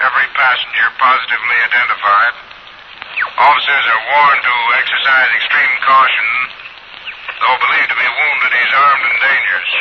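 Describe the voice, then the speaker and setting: An actor playing a stern, official police dispatcher reading a clipped, formal bulletin in a 1940s radio drama. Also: male voice, medium pitch, close to an everyday voice. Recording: very noisy, dull old shortwave radio sound.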